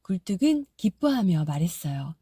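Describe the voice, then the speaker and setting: A woman narrating a fairy-tale audiobook in a soft, gentle storytelling manner. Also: fairly low pitch, big pitch swings, moderate pace, husky, no room sound, studio quality.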